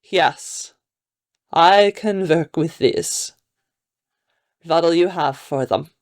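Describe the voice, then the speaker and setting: Woman doing a measured, courteous Hungarian gentleman. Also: on the low side. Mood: grave, polite. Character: deep masculine Hungarian count, courteous and grave.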